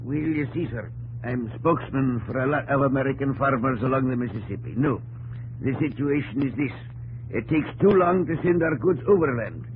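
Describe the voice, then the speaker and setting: An actor playing a blunt, excitable male frontier farmer from the Mississippi country in a 1950s radio drama, emphatic and folksy. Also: mid-range voice, normal speed, close to an everyday voice. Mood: urgent. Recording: noisy old 1950s radio recording.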